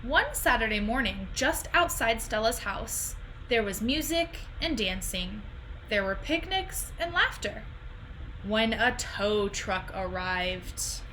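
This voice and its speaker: A young woman reading a children's picture book aloud in an expressive, deliberate storytelling style, recorded at home on a computer microphone. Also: fairly high pitch, a little hiss or hum, low-quality recording. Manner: animated, deliberate phrasing.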